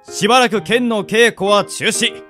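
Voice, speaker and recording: A man plays a roguish, easygoing sword instructor in a radio drama. He speaks with smooth, laid-back politeness in a lightish, slightly raised pitch. Music runs underneath. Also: steady tone, a little slow, sharp tone. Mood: earnest. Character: easygoing, roguish male fencing instructor, polite yet teasing. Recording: music behind the voice. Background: background music.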